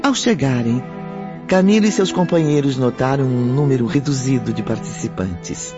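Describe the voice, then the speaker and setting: A man's voice: medium pitch, moderate pace, close to an everyday voice. A Brazilian man narrating a scripted radio drama as a literary storyteller. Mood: measured. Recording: muffled, with music underneath.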